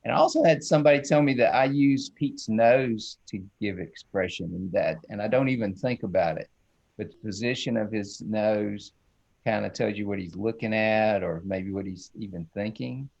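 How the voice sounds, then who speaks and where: Low-voiced, folksy man. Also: moderate pace, vocal fry, a little mellow, highs cut off. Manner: folksy, playful, self-described silly. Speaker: children's book illustrator. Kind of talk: casual drawing demonstration, talking while drawing.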